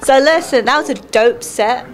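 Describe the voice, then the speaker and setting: A woman's voice: high voice, moderate pace, over loud music. A woman interviewing someone in a casual, slangy, chatty way.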